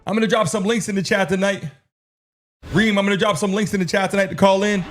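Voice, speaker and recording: A man's voice: high-pitched, little pitch movement, quick pace, soft background music. A man hosting a sports livestream, chatting casually with viewers in slang, cursing and repeating his phrases.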